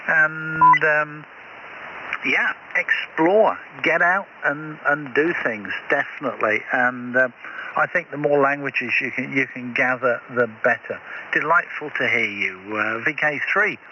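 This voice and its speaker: A man's voice: mid-range voice, measured pace, sharp tone. An older man from England chatting on amateur radio, friendly and encouraging, speaking hesitantly with lots of restarts. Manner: hesitant, with many restarts and fillers. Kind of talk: casual radio chat. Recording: muffled radio audio with faint static.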